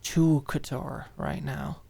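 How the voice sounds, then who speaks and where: A woman's voice: extremely low pitch, takes it slowly, vocal fry, warm tone, slightly rough, exaggerated voice. A woman voicing a gloomy, guarded young woman in an audiobook drama, speaking hesitantly and awkwardly, trailing off. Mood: nervous, withdrawn.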